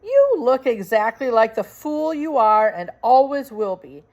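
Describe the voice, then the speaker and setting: A woman's voice: a little high, sing-song, measured pace, a little mellow, lo-fi sound, voice pushed up. A woman voicing a bossy, villainous male rat from a children's storybook, speaking in a pompous, formal, sneering way. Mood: scornful. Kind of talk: children's storybook read aloud.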